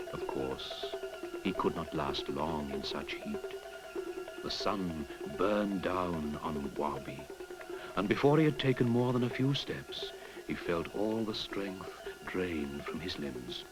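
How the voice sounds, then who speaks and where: A man narrating a folktale in an expressive storytelling manner, sometimes dropping to a whisper. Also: sits low, low-quality recording. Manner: expressive storytelling, drops to a whisper at hushed moments. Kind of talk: storybook narration over music. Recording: narration with clear music behind.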